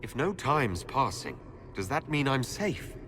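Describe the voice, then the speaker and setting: Expressive, questioning male hero. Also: mid-range voice, unhurried, soft background music. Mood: questioning, guarded. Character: male elf hero, steady, questioning leader. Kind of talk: video game character acting.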